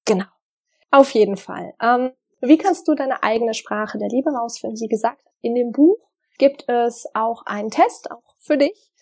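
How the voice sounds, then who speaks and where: A woman talking straight to her viewers in an earnest, reflective way, pausing often with small fillers as she shares advice on love and partnership. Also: fairly high pitch, dark tone. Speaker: vlogger giving relationship advice. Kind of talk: casual direct-to-camera advice talk.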